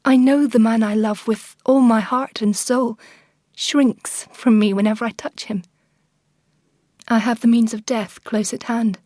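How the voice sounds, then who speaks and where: Mellow, sorrowful Victorian wife. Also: female voice, on the high side, fairly even intonation, unhurried, no room sound, clean studio sound. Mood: sorrowful, resigned. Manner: sorrowful, confessional, restrained. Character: unhappy, jealous Victorian wife voicing her farewell letter. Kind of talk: intimate dramatic monologue in a radio play.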